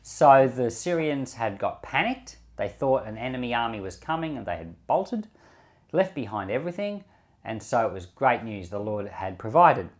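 Man with expressive, varied scripture-teaching delivery. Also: medium pitch, normal speed. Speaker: Bible podcast host and preacher. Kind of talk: scripture reading and informal devotional commentary.

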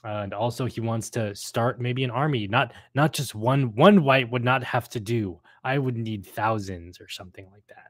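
A man's voice: mid-range voice, moderate pace, hardly any pauses, no echo, clean studio sound. A man hosting a casual discussion of an audio drama, speaking hesitantly as he searches for words. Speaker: host discussing a radio drama episode. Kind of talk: casual chat.

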